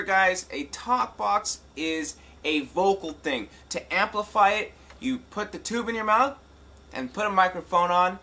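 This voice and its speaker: A man's voice: high voice, bright, forceful tone. A man giving a casual hands-on tutorial as host, addressing viewers in an informal, folksy way. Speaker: tutorial host and talk box musician. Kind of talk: casual instructional demonstration.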